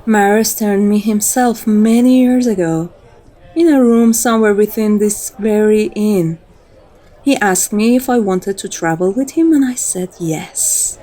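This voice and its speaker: A woman's voice: medium pitch, mellow, close to an everyday voice. A woman playing a seductive, devoted and dominant female vampire in an intimate, poetic ASMR roleplay. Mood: proud, devoted. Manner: slow, poetic, intimate delivery.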